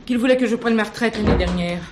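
A woman's voice: a little high, fast, background noise, close to an everyday voice. A woman playing a flustered, chatty elderly widowed concierge in a detective radio play, rambling, hesitant and deferential. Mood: anxious, deferential.

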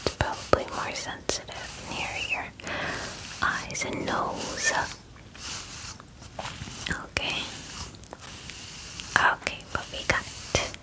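A young adult woman doing a whispered ASMR personal-attention roleplay as a spa groomer, gentle and soothing, with a breathy voice and softly repeated words, close to the mic in a small room. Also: takes it slowly, pauses often, rough recording. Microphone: close mic, speaking near it.

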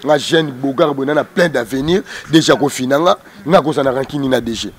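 A man's voice: mid-range voice, moderate pace. A man in his thirties with a Kinshasa Congolese accent, speaking combatively and emphatically in an interview, repeating words, into a handheld microphone outdoors. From Democratic Republic of the Congo. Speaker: interview guest, music group spokesman. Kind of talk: heated street interview in Lingala mixed with French. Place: outdoors on a porch.

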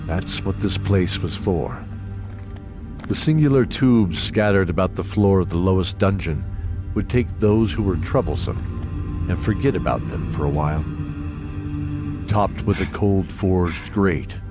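A male narrator tells a dark fantasy story in a low voice. He is measured and dramatic, taking his time, with clear music playing underneath. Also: expressive.

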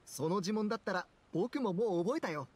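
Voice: mid-range voice, expressive, fairly quick, slight background noise, natural voice. An actor playing a boastful, trend-savvy young townsman in a video game, casual, boyish and dismissive. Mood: smug. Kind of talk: scripted video game voice acting.

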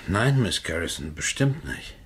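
A man's voice: sits low, sing-song, a little slow, a bit bright, a little hiss or hum, exaggerated voice. A man playing an uneasy, shifty murder suspect in a radio crime play, hesitant and evasive. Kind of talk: German radio drama acting.

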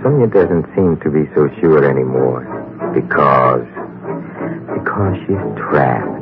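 Very deep, slow, sinister horror host. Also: male voice, heavily acted. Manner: menacing, halting, teasing. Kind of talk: 1950s radio horror narration. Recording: tinny 1950s radio recording.

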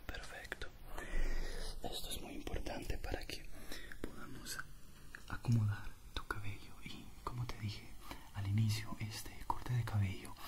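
A man in his twenties doing a gentle, soothing ASMR barber roleplay in an unhurried, breathy whisper, close to the mic. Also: fairly low pitch. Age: 20s. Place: indoor barbershop.

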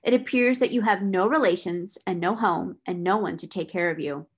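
A cold, scornful schoolmistress of middle age, played by a woman reading a storybook. She speaks in a sharp, imperious way, scolding and domineering, at a middling female pitch. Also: a little slow. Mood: scornful, angry.

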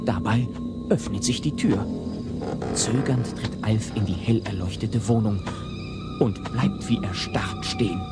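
Male audio play narrator, lively storytelling intonation, strong music bed underneath. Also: on the low side, measured pace. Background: loud music.

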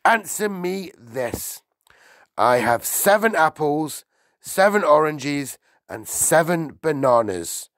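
Man playing a booming, tyrannical headmistress, pitched high. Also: a bit bright, no echo, exaggerated voice. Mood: snapping impatience. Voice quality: booming, barking. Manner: menacing, hectoring, contemptuous. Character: enormous tyrannical headmistress, a bellowing, insulting bully woman.

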